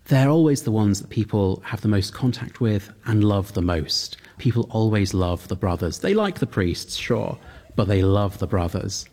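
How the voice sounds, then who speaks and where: Low-voiced Welsh-born priest explaining earnestly. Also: male voice, lively intonation, normal speed. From Wales. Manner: earnest, gently humorous, explanatory. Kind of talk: interview answers.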